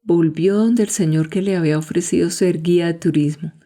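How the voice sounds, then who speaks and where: Mellow, low-voiced woman narrator. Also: steady tone, fairly quick, soft background music. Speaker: podcast narrator. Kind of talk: scripted narration.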